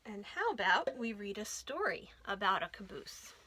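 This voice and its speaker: A woman hosting a children's storytime, reading aloud with an expressive, deliberate delivery for young listeners. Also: mid-range voice, husky. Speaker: children's librarian and storytime host.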